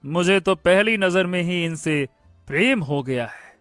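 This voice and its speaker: A man playing an excitable, kind-hearted young prince in a cartoon fairy tale, with theatrical drawn-out exclamations. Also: sits high, dry recording, studio quality, faint music behind. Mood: smitten wonder. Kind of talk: animated Hindi moral-story cartoon dialogue.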